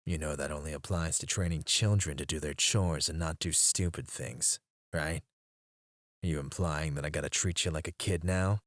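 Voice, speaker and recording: A man voicing a menacing male mercenary interrogator in an ASMR roleplay, speaking in a low, taunting way close to the mic, sometimes dropping to a whisper. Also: moderate pace, dry recording. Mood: mocking.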